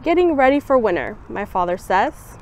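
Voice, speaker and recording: A young woman with a fairly high voice reads a children's picture book aloud. Her storytelling is expressive, and she brightens the voice for the quoted lines. She was recorded outdoors on a campus bench by a camera a short way off. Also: moderate pace, slight background noise. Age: young adult. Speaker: student volunteer reader.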